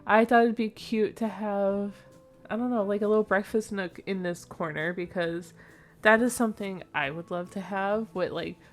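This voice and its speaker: A woman's voice: medium pitch, fairly even intonation, normal speed. A young woman narrating her own building video in a casual, rambling way, with a slightly nasal, sleepy voice. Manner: chatty, rambling, with frequent fillers and small laughs. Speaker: Sims builder and channel host. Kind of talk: casual voice-over commentary on a speed build.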